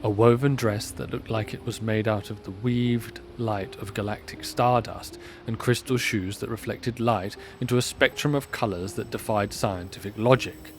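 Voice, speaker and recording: A man's voice: lowish voice, steady tone, normal speed, few pauses, a little hiss or hum. A man reading scripted story narration as the narrator of an audio drama.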